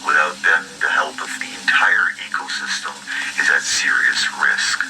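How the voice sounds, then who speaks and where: A man reading a scripted news bulletin in a grave, formal, deadpan manner. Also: rumbling low, normal speed, creaky, music in the background. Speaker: news announcer in an analog horror video. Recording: noisy lo-fi broadcast-style audio. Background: loud noisy ambience.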